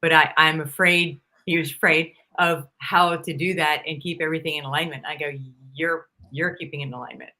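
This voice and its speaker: Bright-voiced woman, lively guest coach. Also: deep voice, fairly quick, hardly any pauses. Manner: lively storyteller, laughs often; acts out quoted people's lines. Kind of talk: live-stream conversation.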